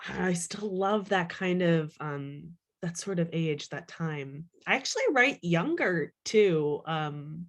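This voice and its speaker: A woman speaks as an author in a relaxed interview. Her voice sits a little low for a woman. She talks in a chatty, conversational way, dropping "like" often and voicing small imagined quotes. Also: slow, hardly any pauses. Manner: chatty, animated self-quoting, peppered with "like". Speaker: children's author and librarian being interviewed. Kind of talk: casual interview chat.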